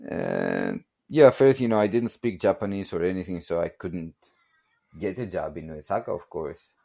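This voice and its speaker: A man's voice: deep voice, big pitch swings, moderate pace, creaky, dark tone. A man in his forties from Greece with a Greek accent, chatting as a podcast guest, joking and laughing a lot and saying "you know" often. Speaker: guest, barbell company production manager. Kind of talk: casual podcast storytelling.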